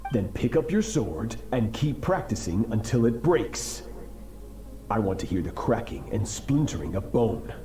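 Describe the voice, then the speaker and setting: A merciless master swordsman from a fantasy game, low and dark in tone. He speaks slowly and solemnly, giving stern commands with cold authority, and his words ring in a roomy echo over music. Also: big pitch swings. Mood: cruel, stern. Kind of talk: dramatic video game voice acting.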